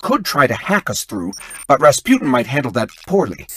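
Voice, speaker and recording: Expressive, chipper little robot companion. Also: male voice, medium pitch, moderate pace, a little hiss or hum. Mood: helpful, cautious. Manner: chatty, wry, expressive. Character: small chipper robot companion, nervous and helpful. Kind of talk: video game voice acting.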